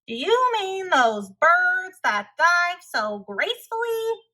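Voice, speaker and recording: Woman voicing a loud, high-pitched oddball penguin. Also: sing-song, takes it slowly, heavily acted. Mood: blaring, cheerful. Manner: loud, blaring, exaggerated. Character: eccentric, blaring, oddball penguin, hearty and oblivious.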